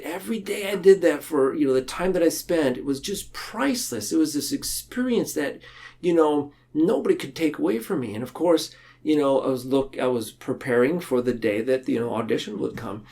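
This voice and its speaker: A man speaking casually and reflectively as a podcast guest, telling things in a halting, earnest way. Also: medium pitch, a little mellow.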